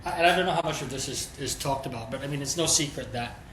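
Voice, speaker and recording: A man's voice: medium pitch, fast. A man, a streaming executive, answering interview questions in a candid, thoughtful way, thinking aloud as he goes. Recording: roomy echo with steady background noise.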